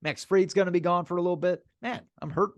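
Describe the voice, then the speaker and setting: A man co-hosting a sports podcast in casual banter, animated and repeating phrases for emphasis. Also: high-pitched, quick pace, no echo.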